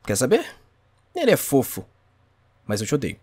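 A man's voice: mid-range voice, huge pitch swings, normal speed, dark tone, breathy edge, dry recording, clean studio sound. A man with a Brazilian accent playing an exasperated, grumbling friend in a comedic cartoon fandub. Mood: deadpan annoyance. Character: exasperated male friend fed up with cat photos.

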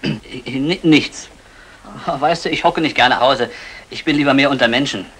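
An actor playing a hapless, evasive small-time crook with dry, hesitant delivery in a vintage German radio comedy. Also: male voice, mid-range voice, unhurried, a bit bright, lightly acted. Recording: faintly noisy 1960 radio recording.

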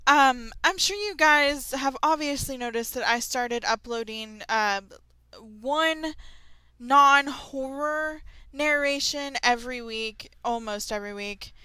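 A woman hosting her channel, chatting casually and excitedly to her viewers in an informal audio update. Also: fairly high pitch, clean tone, no room sound, rough recording. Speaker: creepypasta narrator and channel host.

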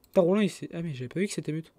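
A man's voice: fairly high pitch, lively intonation, racing, dark tone, no echo. A young man streaming a game, chatting casually with friends and laughing often. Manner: chatty, laughs often, scrolls through old messages. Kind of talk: casual voice chat while gaming.

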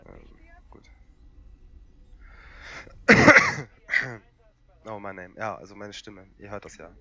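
A young man with a cold, hoarse and coughing, casually commentating a strategy game with lots of fillers. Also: lowish voice, slow, lo-fi sound, heavy background noise. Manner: relaxed and rambling, many fillers. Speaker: gaming channel host.

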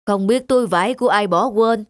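A woman's voice: mid-range voice, brisk, dry recording, studio quality, lightly acted. A woman voicing an honest, humble middle-aged male farmer in a folktale cartoon, plain and principled in manner. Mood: concerned. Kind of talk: Vietnamese folktale cartoon acting.

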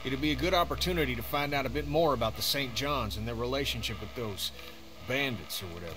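A man acting a weary father in a survival video game. He sounds blunt, insistent and strained, in a middling pitch. Clear background ambience sits under the voice. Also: fast talker, background noise, lightly acted. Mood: weary, concerned. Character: stressed, blunt father, desperate hungry survivor.

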